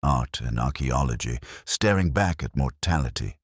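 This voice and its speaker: An extremely low male voice, close and dry, carries the first-person narration of a detective drama. A man plays a weary, melancholy inspector with a literary turn of mind. His delivery is measured and solemn, yet the pitch moves expressively. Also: animated, husky, no room sound, full character voice. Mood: wry, brooding.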